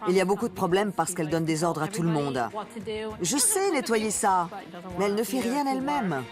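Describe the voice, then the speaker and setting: A woman's voice: medium pitch, lively intonation, brisk, lightly acted. An actress dubbing a frustrated young woman who complains in an exasperated way about her mother. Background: music bed.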